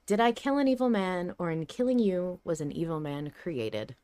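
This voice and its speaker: Low-voiced woman with smooth, clear tone. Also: animated, normal speed, mellow, no echo, studio quality. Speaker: podcast host. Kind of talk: casual podcast discussion.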